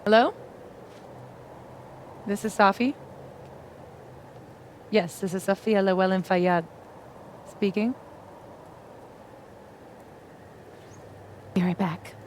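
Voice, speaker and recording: A woman's voice: mid-range voice, slow pace, pauses often, darkish tone, slight background noise, lightly acted. A woman playing a sly, secretive young woman in a video game, with a dry, teasing, drawling delivery. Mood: brisk. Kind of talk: naturalistic video game acting.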